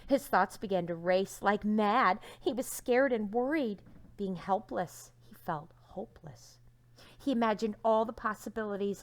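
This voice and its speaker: A woman teacher reading a storybook aloud to young children, with dramatic emphasis and hushed endings to her phrases. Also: mid-range voice.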